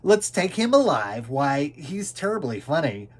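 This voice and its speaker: An astonished storybook hunter, acted by a man, exclaims loudly with big swings in pitch. He sounds greedy and scheming, in a slow, emphatic delivery. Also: very animated. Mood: amazed. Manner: loud, exclaiming. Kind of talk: children's storybook character reading.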